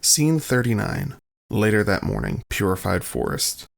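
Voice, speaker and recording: A man's voice: fairly low pitch, expressive, measured pace, vocal fry. A man reading scripted scene narration for an audio drama in a formal, announcing manner.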